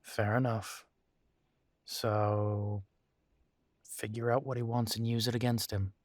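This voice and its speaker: A man's voice: on the low side, flat delivery, takes it slowly, darkish tone, dry recording, clean studio sound. A man playing a protective new werewolf pack leader in an audio roleplay, speaking earnestly and with some hesitation. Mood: worried, resolute.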